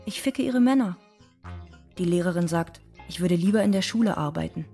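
A woman's voice: on the low side, a little fast, dark tone, soft background music, close to an everyday voice. An actress playing a bitter, frightened young migrant cleaning woman in a radio drama monologue, in terse, insistent, repeating sentences. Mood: bitter, weary.

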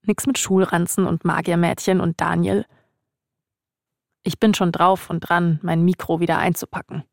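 Woman doing podcast storytelling, kinda low voice w some vocal fry. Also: level tone, moderate pace, a little mellow, no room sound, clean studio sound. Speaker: podcast host and reporter. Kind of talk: scripted storytelling narration mixed with casual interview questions.

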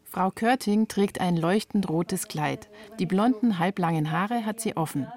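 A woman's voice: lowish voice, measured pace, a little mellow. A woman reporter reading scripted narration for a radio feature.

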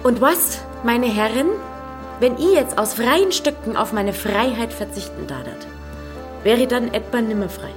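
A woman playing a defiant, quick-witted young inn heiress with a Bavarian accent in a radio drama. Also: a little high, animated, lightly acted. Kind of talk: German radio drama acting. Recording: faint music behind.

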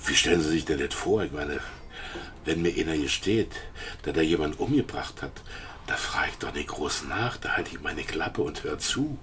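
An actor playing a shady, evasive informant in a radio drama, with a halting, hemming way of talking. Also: male voice, extremely low pitch, expressive, fairly bright tone, slight background noise. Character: shady, evasive pub-going male informant, eager and shifty. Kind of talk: naturalistic German radio drama acting.

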